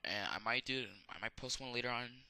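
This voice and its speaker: A man's voice: mid-range voice, bright, forceful tone, clean tone, no echo. Rambling young man with a sore throat. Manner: halting, many fillers and restarts. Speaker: amateur video reviewer. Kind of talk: rambling casual movie review.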